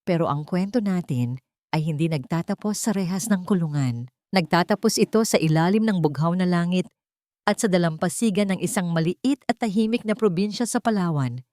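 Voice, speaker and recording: A woman's voice: on the low side, animated, fairly quick, darkish tone, dry recording, studio quality. A woman giving an earnest, scripted dramatic narration as a sentimental storyteller. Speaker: storyteller and channel host.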